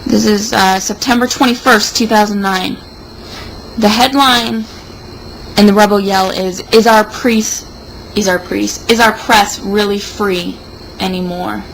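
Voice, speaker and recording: A woman's voice: mid-range voice, fairly even intonation, measured pace, rough recording. A young woman in a casual, rambling monologue, sounding tipsy and sardonic. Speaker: vlogger. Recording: noticeable background noise.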